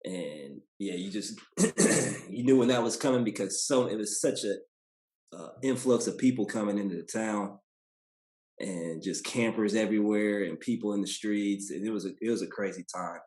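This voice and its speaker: A man's voice: on the low side, moderate pace, darkish tone. A man in his thirties from Indianapolis with an American accent, chatting casually and colloquially as an interview guest. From Indianapolis, United States. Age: 30s. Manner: colloquial, laid-back, often tags sentences with "you know". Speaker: podcast guest, basketball player. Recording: roomy, echoey sound.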